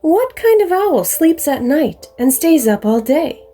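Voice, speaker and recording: A woman voicing a sleepy, dignified female great horned owl in a children's storybook, hooting slowly. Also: high-pitched, sing-song, dark tone, soft background music, pitched above the performer's own voice. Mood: curious.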